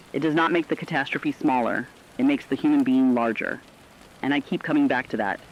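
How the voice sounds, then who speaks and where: A weary male radio journalist, acted by a man speaks in a medium-pitched voice through dull, lo-fi broadcast sound. He is earnest and compassionate, shaping each phrase with solemn deliberation as in a scripted radio drama. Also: a little hiss or hum, natural voice.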